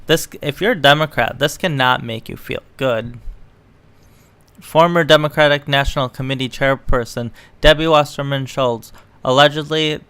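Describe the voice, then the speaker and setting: A man's voice: medium pitch, moderate pace, a bit bright, smooth, no room sound. A man reading news articles aloud and adding casual, sarcastic, opinionated commentary as an online political commentator. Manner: sarcastic, mocking.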